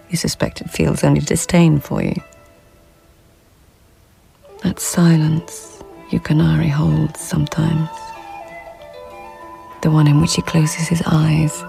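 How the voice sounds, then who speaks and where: A woman's voice: low voice, creaky, mellow, full character voice, low-quality recording. An actress playing a sardonic, guarded court lady in a radio drama, speaking in a dry, wry, clipped way. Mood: reflective, melancholy. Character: sardonic, guarded Japanese court lady, sharp-witted diarist. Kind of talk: naturalistic radio drama acting.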